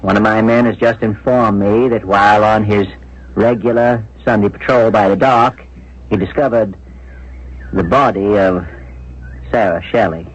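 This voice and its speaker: An actor playing a grave, considerate police inspector in a 1970s radio drama, speaking in a measured, deliberate, official way. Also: male voice, fairly low pitch, steady tone, breathy edge. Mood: grave, gentle. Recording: muffled vintage radio broadcast.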